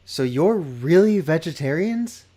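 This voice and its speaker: A man's voice: on the high side, lively intonation, unhurried, warm tone. A man playing an earnest, bookish young male dragon in a fantasy dub, hesitant, formal and a little fussy. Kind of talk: dubbed fantasy drama acting.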